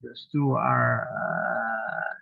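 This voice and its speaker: A man's voice: mid-range voice, wildly swooping pitch, extremely slow, vocal fry, breathy edge. A man giving a formal seminar presentation over a video call, with frequent fillers. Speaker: panel speaker. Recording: tinny phone-like video call audio.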